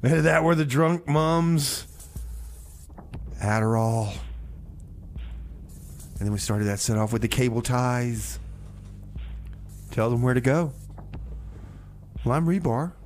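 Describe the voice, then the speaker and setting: A man's voice: mid-range voice, slow pace, long pauses. A man hosting community radio with comedy banter, speaking in a hesitant, stammering way. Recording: clear background noise.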